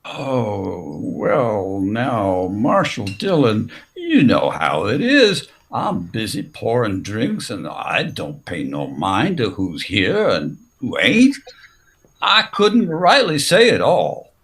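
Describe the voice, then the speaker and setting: Man acting a slow, evasive Western barkeep. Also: fairly low pitch, huge pitch swings, a bit bright, full character voice. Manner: hesitant, hedging, drawn-out. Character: evasive, uneasy male saloon barkeep in old West. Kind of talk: recreated 1950s radio western acting.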